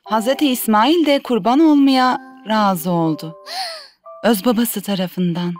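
A young cartoon mother, played by a woman, tells a story to her children. Her voice is fairly high and gentle, over soft music. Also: measured pace, close to an everyday voice. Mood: gentle, reverent.

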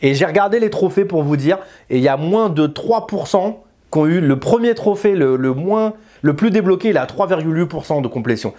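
A man's voice: on the high side, expressive, fairly quick, warm tone. A man, talking casually and informally to camera as a video game reviewer, using slang. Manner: informal, slangy, chatty, gesturing. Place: small indoor room with bookshelves.

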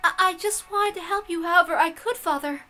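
A woman acts out a meek young witch girl from a visual novel. The voice is high and bright, with a hesitant, earnest manner. Faint music plays behind. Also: fairly quick. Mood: nervous, submissive.

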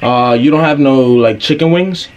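A man's voice: mid-range voice, moderate pace, lo-fi sound. Slangy young man with slight background noise. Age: 20s. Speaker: YouTube prankster. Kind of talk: casual prank phone calling. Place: bedroom, indoors. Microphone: camera mic at about arm's length.

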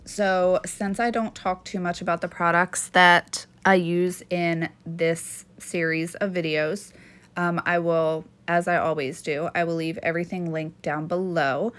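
A woman's voice: lowish voice, level tone, measured pace, a bit bright. A young woman from Maryland chatting earnestly to camera as a nail channel host, a bit sniffly from allergies. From Maryland, USA. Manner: chatty, earnest, with frequent fillers. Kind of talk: casual chat to camera.

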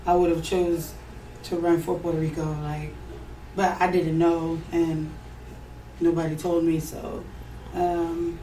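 A young American woman, a professional hurdler, answering interview questions in a relaxed, loose way with many fillers and restarts. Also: fairly low pitch, slow pace, long pauses, recorded from a distance, a little hiss or hum. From United States. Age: young adult. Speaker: interview guest, pro hurdler. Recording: roomy sound from off mic with faint ambience.

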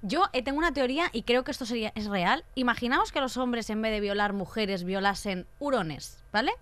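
A young woman with a Spanish accent from Spain, co-hosting a casual podcast chat with lively talk and frequent agreement. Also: a little high, brisk, no echo. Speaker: podcast co-host. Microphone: desk mic on stand.

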